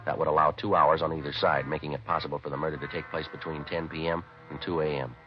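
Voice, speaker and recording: An actor playing a terse, businesslike American homicide detective, deadpan and matter-of-fact, in a 1950s radio police drama. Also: male voice, extremely low pitch, quick pace, dull audio. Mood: deadpan narration. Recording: vintage radio recording, band-limited, music behind.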